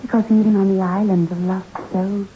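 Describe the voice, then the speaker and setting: A woman playing a calm, eerie female spirit in a 1960s radio horror play, hushed, measured and solemn. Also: mid-range voice, lightly acted. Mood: soothing eerie. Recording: noisy, muffled 1960s radio recording.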